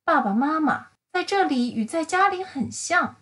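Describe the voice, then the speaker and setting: An eager young meerkat played by a woman writes home in a storybook. The voice is high and swings freely in pitch, with cheerful, expressive phrasing. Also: moderate pace. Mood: cheerful, excited. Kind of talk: children's picture-book letter reading.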